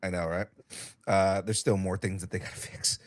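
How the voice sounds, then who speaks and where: A young man hosting a casual podcast, excitable and swearing freely, with a light cold and a slight cough. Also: low voice, moderate pace, no room sound, studio quality. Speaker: podcast host.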